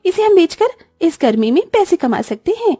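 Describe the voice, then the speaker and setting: Woman as young cartoon sister, high-pitched, mellow, eager, childlike, dry sound, music behind. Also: big pitch swings, moderate pace, no room sound. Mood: amazed, eager. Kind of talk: Hindi cartoon story dialogue.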